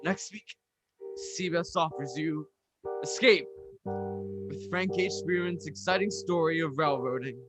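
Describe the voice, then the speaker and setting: A man's voice: fairly high pitch, unhurried, pauses often, close to an everyday voice, poor audio. A man playing an emphatic, theatrical announcer in a 1940s radio style, urgent and grand. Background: piano music.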